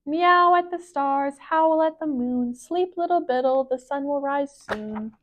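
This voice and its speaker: An easygoing picture-book cat with a high, gentle voice, acted by a woman storyteller. The cat is calm and cheerful, speaking in a matter-of-fact way. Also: unhurried. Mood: singing lullaby.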